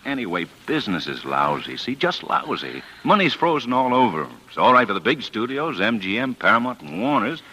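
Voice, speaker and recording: An actor playing a worried American film producer husband, pleading and colloquial, in a 1940s radio drama. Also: male voice, mid-range voice, very animated, fairly quick, hardly any pauses, bright, forceful tone, breathy edge, exaggerated voice, poor audio.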